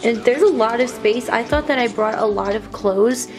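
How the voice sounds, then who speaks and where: A young woman with a slightly high, raspy voice, a little stuffy from allergies. She rambles cheerfully with lots of "like" and "um" while filming her small bedroom on a handheld phone. Music plays clearly underneath her talk. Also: moderate pace. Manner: chatty, upbeat, rambling with fillers. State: congested from allergies. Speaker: college program intern. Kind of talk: casual room tour vlog. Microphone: handheld phone camera.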